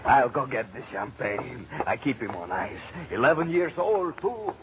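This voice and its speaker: An actor playing a greedy, pushy cantina owner with a wheedling sales patter, in 1940s radio drama style. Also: male voice, medium pitch, sing-song, moderate pace, phone-quality sound, close to an everyday voice. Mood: jovial hustling. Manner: wheedling, broken-English hustling salesman. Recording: thin, noisy vintage radio recording.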